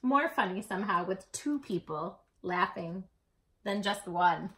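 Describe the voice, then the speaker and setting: A woman storytime host reading a picture book aloud to children in an expressive, dramatic way. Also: mid-range voice, unhurried. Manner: animated, dramatic delivery for children.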